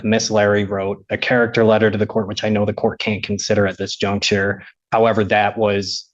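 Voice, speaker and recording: An American man speaking as a defense attorney, making a measured, formal and respectful plea to a judge. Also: on the low side. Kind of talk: courtroom argument to a judge. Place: indoor courtroom. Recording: muffled courtroom audio.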